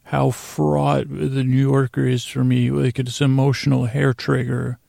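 A man hosting a bedtime podcast in a meandering monologue, mumbling and stumbling with long pauses. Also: mid-range voice, little pitch movement, creaky, dark tone, no room sound, studio quality. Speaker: podcast host.

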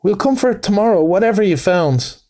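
A man voicing a cheerful, brisk male water rat who hurries his friend along. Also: sits high, lively intonation, a little mellow, voice pushed up. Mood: hurried. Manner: brisk and hearty.

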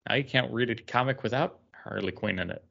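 A man's voice: mid-range voice, a little fast, creaky, bright, forceful tone, dull audio. A man chatting casually as a podcast co-host. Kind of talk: casual chat.

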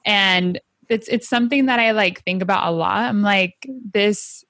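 A woman's voice: mid-range voice, normal speed, no room sound. A young woman from San Diego with a Honduran family, talking casually and often saying "like". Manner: chatty, heavy use of "like", animated quoting. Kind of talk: casual chat.

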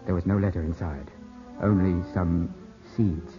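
A man's voice: sits low, fairly even intonation, unhurried. Brooding, anguished young Englishman, old radio. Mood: tense, brooding narration. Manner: intense, dramatic, brooding. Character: anguished, suspicious young Englishman in love, brooding over betrayal. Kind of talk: 1950s radio drama acting. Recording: dull 1950s radio broadcast, music behind.

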